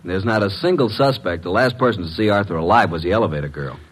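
Detective's assistant, lowish pitch, informal, wisecracking, vintage radio drama. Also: male voice, quick pace. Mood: speculating. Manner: breezy, wry, informal. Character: breezy, wisecracking male private detective's assistant. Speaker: actor playing a detective's assistant. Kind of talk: classic American radio drama acting.

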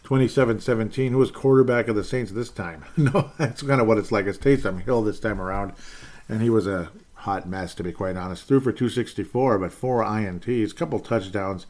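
A man with a lowish voice hosts a loose, unscripted sports podcast. He rambles, stops to correct himself and drops wry little asides as he goes. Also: fairly quick, darkish tone. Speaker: podcast host. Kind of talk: casual sports podcast talk.

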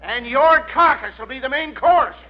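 High-pitched, blustery old Western cowboy. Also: male voice, animated, normal speed, noisy background. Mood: threatening bluster. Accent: American Western drawl. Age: older. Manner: folksy frontier talk, threatening bluster. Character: blustery, absent-minded old cowboy father. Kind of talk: 1940s radio comedy acting. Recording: muffled old 1940s radio recording.

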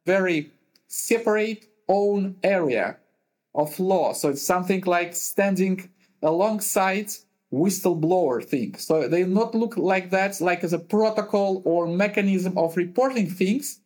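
A man from Uzbekistan, a compliance lawyer and podcast guest, answering questions in a measured way, pausing to find his words in non-native phrasing. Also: sits high, darkish tone. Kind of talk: thoughtful conversational answers. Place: remote call, based in Europe.

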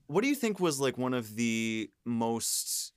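A man's voice: mid-range voice, very animated, measured pace, clean tone. A man co-hosting a podcast, asking questions in a casual, chatty interview style.

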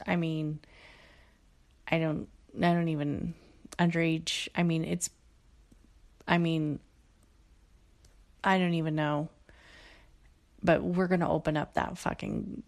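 Slow, gravelly-voiced woman podcaster. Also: lowish voice. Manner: profane, frequent fillers and restarts. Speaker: podcast host. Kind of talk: casual solo podcast monologue.

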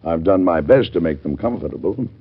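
A steadfast older statesman in a classic radio play. The voice is very deep and slightly husky, delivered with deliberate, paternal gravity. The sound is a muffled old broadcast recording. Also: lively intonation, full character voice. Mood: warm, courtly. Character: steadfast older president, fatherly, grave and resolute. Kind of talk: old-time radio drama acting.

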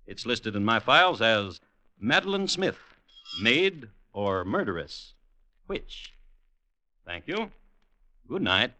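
A dry, animated-pitched crime storyteller. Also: male voice, takes it slowly, long pauses, full character voice. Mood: dryly formal. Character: dry, formal male true-crime storyteller and host. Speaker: program host and narrator. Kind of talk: 1950s radio acting, scripted sign-off.

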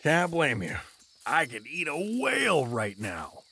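A man's voice: a little high, wildly swooping pitch, takes it slowly, vocal fry, sharp tone, breathy edge, exaggerated voice. A man playing a drunk, good-natured, bumbling dad adventurer in an audio drama, rambling and stumbling over words. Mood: tipsy.

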